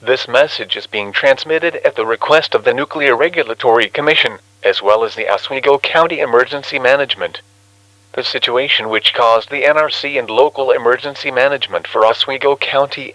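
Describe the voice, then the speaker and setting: A man's voice: medium pitch, bright, forceful tone, no room sound, poor audio. A man reading a formal scripted emergency bulletin in an official, careful manner. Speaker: emergency alert announcer.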